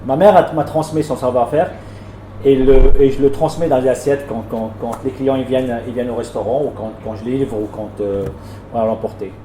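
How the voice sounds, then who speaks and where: Hesitant man, mellow voice, echoey room. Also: medium pitch, fairly quick, slight background noise. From France. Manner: hesitant, repeats words often, warm and plain. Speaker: restaurant owner. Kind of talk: casual interview answers.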